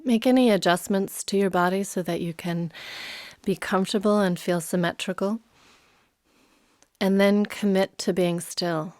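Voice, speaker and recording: A woman leading a guided meditation as a yoga nidra teacher, soothing and unhurried, leaving long pauses. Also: medium pitch, steady tone, darkish tone, no echo, studio quality.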